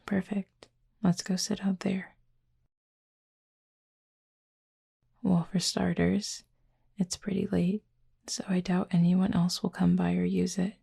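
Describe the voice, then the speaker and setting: A woman playing a reserved, gently teasing cupid roommate in an intimate audio roleplay, speaking softly and breathily in a dry, measured way. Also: fairly low pitch, flat delivery, creaky, husky, exaggerated voice. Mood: teasing, casual. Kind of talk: intimate roleplay audio drama, addressing the listener.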